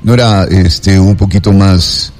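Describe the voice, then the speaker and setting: A man with an Ecuadorian accent talks as a chat show host in a lowish register. He wanders through his thoughts effusively, stopping and repeating words. Music plays behind him. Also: steady tone, darkish tone. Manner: effusive, digressive, halting with repetitions. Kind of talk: casual rambling monologue and interview chat.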